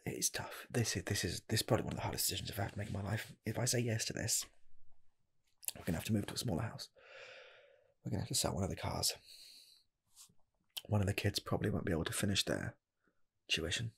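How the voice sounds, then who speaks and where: A man playing an earnest rocket scientist applying for a fast food job, in a soft, half-whispered ASMR roleplay voice that rambles and restarts. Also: lowish voice, creaky, breathy edge, exaggerated voice. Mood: torn. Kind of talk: ASMR personal attention roleplay.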